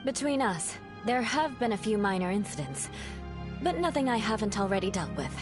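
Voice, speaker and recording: A woman's voice: medium pitch, sing-song, normal speed, music in the background, natural voice. A woman voicing a haughty, stern young knight in a video game, with formal, imperious delivery. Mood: cool. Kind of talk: anime-style video game voice acting.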